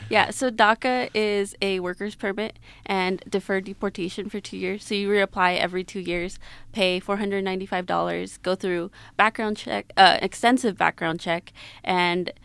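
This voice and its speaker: A woman's voice: mid-range voice, fairly even intonation, moderate pace, gravelly, a bit bright, smooth, no echo. A woman in her twenties with an American accent, telling her personal story in an interview in a reflective, explanatory manner. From Mexico-born, grew up in Utah, United States. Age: 20s. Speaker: interview guest.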